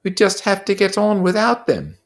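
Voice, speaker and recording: Man putting on a high-pitched, plaintive brainy schoolboy. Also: wildly swooping pitch, normal speed, full character voice. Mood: resigned. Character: anxious, earnest, brainy schoolboy, deeply troubled.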